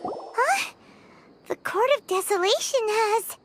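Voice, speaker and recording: Chirpy little floating game sprite, very high and squeaky, excitable, noise behind. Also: female voice, slow, full character voice. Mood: startled. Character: tiny chirpy floating girl companion. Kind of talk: video game cutscene voice acting.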